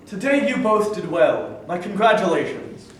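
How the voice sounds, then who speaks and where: A man's voice: high voice, big pitch swings, moderate pace, a little hiss or hum. A man playing a proud, domineering cave king in a staged reading, speaking in a commanding, grandiose way. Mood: stern. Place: theater in New York. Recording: echoey hall from far off.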